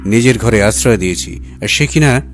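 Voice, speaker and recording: A man's voice: lowish voice, moderate pace, faint music behind, close to an everyday voice. A man voicing a stern, scheming businessman husband in an audiobook, with grave, emphatic, clipped phrases. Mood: bitter, accusing. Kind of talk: acted audiobook dialogue.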